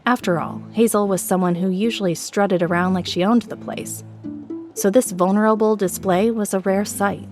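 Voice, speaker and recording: A woman's voice: mid-range voice, steady tone, moderate pace. A woman narrator reading a scripted, dramatic story with music behind her.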